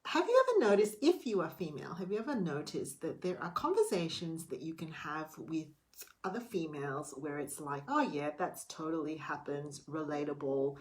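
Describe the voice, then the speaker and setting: A middle-aged woman talking to her viewers in a casual, theatrical and emphatic way. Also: deep voice. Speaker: vlogger. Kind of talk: casual monologue to camera.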